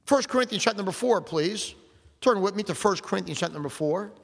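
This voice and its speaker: An older man preaching a sermon as pastor, with fervent emphasis, slowing down to stress scripture and putting questions to the congregation. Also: high voice, animated, vocal fry, a bit bright. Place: church sanctuary. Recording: long reverb of a large hall.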